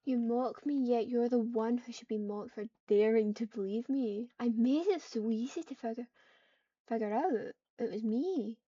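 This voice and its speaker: A woman voicing a scheming, scornful evil cat in an online game roleplay, with measured, theatrical, formal delivery. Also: a little high, mellow, breathy edge, dry recording. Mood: mocking scorn. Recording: dull, distant home microphone.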